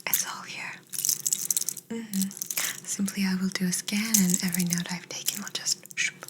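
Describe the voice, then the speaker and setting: A woman whispering softly and breathily in an ASMR roleplay as a polite, curious, clinical alien examining a human. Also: medium pitch, fairly even intonation. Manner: slow, polite, clinical, murmuring short reassurances.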